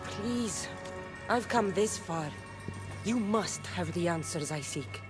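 A woman's voice: on the low side, lively intonation, slow pace, music in the background. An actress playing a tough, defiant young mercenary woman, blunt and assertive, in a video game cutscene. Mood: pleading, urgent.

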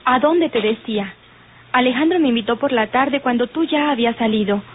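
An actress playing a composed, determined young daughter in an old radio soap. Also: female voice, fairly high pitch, fairly quick, close to an everyday voice. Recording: tinny old radio recording, faint noise.

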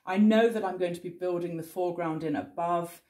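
A woman in her forties explaining each painting step as she works at an easel, calm and reassuring, often thinking aloud. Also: on the low side, brisk, reverberant. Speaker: painting teacher. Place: indoor studio in front of a cloth backdrop.

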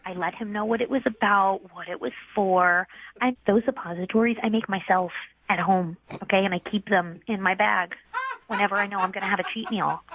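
A woman's voice: medium pitch, fairly even intonation, brisk. A defensive, insistent woman arguing casually as a phone-in guest on a radio show. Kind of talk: casual argument on a radio call. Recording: muffled, noisy phone line.

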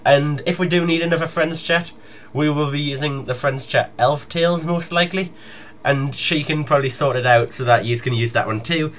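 A man's voice: a little high, fairly even intonation, normal speed, a bit bright. A young man making a casual, rambling announcement to his viewers as a gaming YouTuber. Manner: informal, rambling. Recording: muffled lo-fi home recording with noise.

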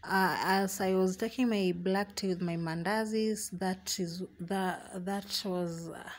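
A young woman with a Kenyan accent chats to her viewers, easy and friendly, now and then dropping into Swahili. Her voice sits at an ordinary middle pitch. She is recorded by a camera standing in a small room at home. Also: steady tone, slow. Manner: chatty and warm, mixing in Swahili phrases. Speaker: vlogger. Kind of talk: casual vlog narration.

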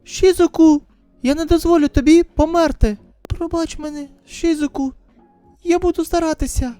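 A woman acts out an anxious teenage girl from a manga drama. Her high voice is tender and pleading, with a soft, mellow tone. She sounds close and dry, with music behind her. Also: fairly even intonation, normal speed, dry recording. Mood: desperate pleading. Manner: emotional, pleading.

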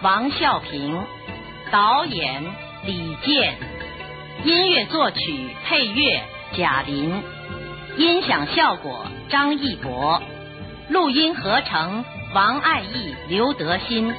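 Lady radio drama announcer, formal and slow, music behind her, kinda muffled lo-fi. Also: medium pitch, big pitch swings. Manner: measured, declamatory, carefully articulated. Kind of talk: formal scripted announcement, reading credits. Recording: muffled lo-fi broadcast audio.

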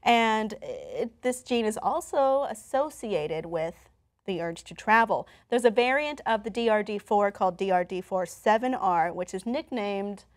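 A woman's voice: medium pitch, expressive, unhurried. A young woman presenting conversationally from notes as co-host of a talk show, recorded in a studio. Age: young adult. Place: TV-style studio, indoors.

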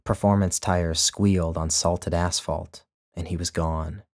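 A man, the author, narrating his own literary short story in a measured, even way. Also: sits low.

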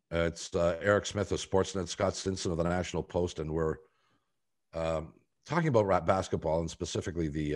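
A man in his sixties hosting a sports talk show, speaking in a deliberate, halting way over a video call. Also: fairly low pitch. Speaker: podcast host. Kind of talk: sports talk, moderating a panel. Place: home office, video call.

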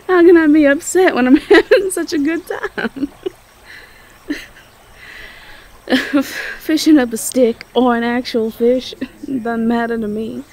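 A woman's voice: high voice, steady tone, slow, long pauses, darkish tone, heavily acted. A woman playing an affectionate, cheerful young Southern belle girlfriend in a roleplay audio, with a Southern US accent. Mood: delighted, encouraging. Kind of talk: intimate roleplay audio acting.

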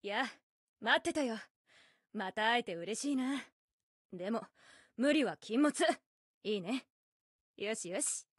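A woman voicing a cool, princely teenage girl hero in an anime game, speaking in a calm, gallant and gentle way. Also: on the high side, takes it slowly, long pauses, slightly rough, no echo, clean studio sound, full character voice.

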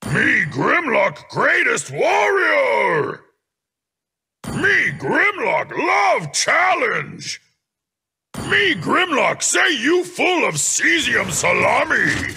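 A brutish robot dinosaur, bellowing in a high, gravelly growl. He is slow, blunt and boastful, a video game brawler with caveman grammar. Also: huge pitch swings, sharp tone, raised voice, faint music behind, heavily acted. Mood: boastful, combative. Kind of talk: video game character lines.

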